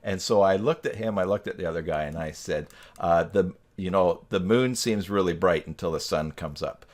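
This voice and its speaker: A man's voice: low-pitched, moderate pace, a little mellow. A man hosting a tutorial live stream in a casual, folksy, joking and meandering way, speaking into a headset microphone.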